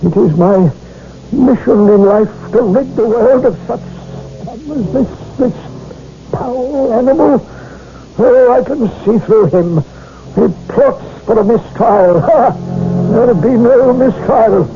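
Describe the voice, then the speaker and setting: An actor playing a harsh, haunted old English judge in a theatrical, declamatory radio drama style. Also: male voice, very high voice, takes it slowly, heavily acted. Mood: contemptuous. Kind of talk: 1970s radio drama acting. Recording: muffled old radio broadcast, dramatic music behind.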